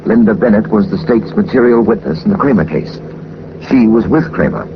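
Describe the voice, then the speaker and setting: A muffled, noisy old radio recording carries a composed gentleman sleuth. His voice is low, with formal, measured phrasing and courteous manners in the style of 1940s radio drama. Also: lively intonation. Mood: calm, explaining. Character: composed, methodical gentleman sleuth, a former captain. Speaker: lead actor of a detective radio serial.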